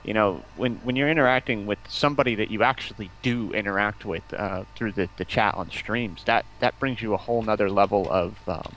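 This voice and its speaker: A man chatting casually on a stream, halting and often repeating his words. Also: on the low side, fairly bright tone, a little hiss or hum, rough recording.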